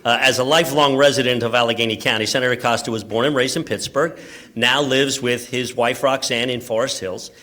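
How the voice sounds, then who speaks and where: A man's voice: mid-range voice, steady tone, a little fast, pushed and bright. An American man hosting a press club luncheon, giving a speaker introduction with wry asides. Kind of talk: event introduction speech. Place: luncheon event room, speaking to an audience.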